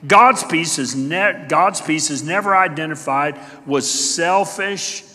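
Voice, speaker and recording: A middle-aged male pastor preaching with a high, lively voice and a very bright, forceful edge. He moves between loud, emphatic declarations and quiet, hushed asides. His words ring out in a church sanctuary with a long, echoing reverb. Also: takes it slowly. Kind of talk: sermon.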